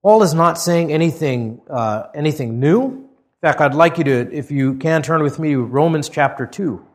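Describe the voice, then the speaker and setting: A man's voice: fairly high pitch, expressive, moderate pace. A middle-aged man from Hawaii, preaching a sermon. He reads scripture aloud with rising emphasis, then talks conversationally with some fillers. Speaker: pastor. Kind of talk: expository sermon.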